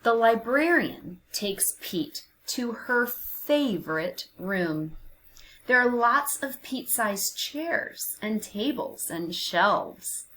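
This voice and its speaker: A woman narrating a picture book for young children, expressive and deliberate, with dramatic emphasis and hushed phrases. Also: fairly high pitch, slight background noise, rough recording. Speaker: children's read-aloud narrator.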